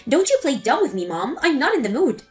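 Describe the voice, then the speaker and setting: A woman's voice: high voice, sing-song, a little fast, faint music behind. A woman voicing an angry adult daughter confronting her mother in a scripted text-story drama. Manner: accusing, exasperated.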